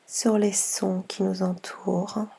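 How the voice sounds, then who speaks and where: A woman guiding a yoga nidra session in a soft, half-whispered voice, unhurried, with long pauses between gentle instructions. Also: medium pitch, flat delivery, creaky, slightly rough. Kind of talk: slow guided meditation.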